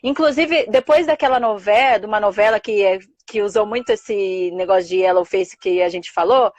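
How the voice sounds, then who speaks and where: A woman's voice: mid-range voice, normal speed, no room sound, dull audio. Effusive Brazilian woman host, dry sound. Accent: Brazilian Portuguese. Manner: warm, effusive thanks. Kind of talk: live interview chat.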